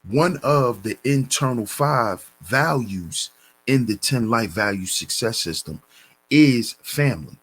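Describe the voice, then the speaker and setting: A man hosting a commentary channel, reading a news story and lecturing on it in a deliberate, preachy way, calling his listeners brothers. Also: mid-range voice, animated, fairly bright tone, rough recording.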